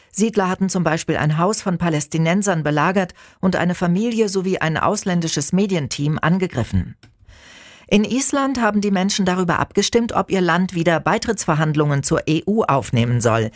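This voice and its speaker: A woman's voice: lowish voice, no echo. A woman reading scripted radio news as an anchor, close to a studio microphone.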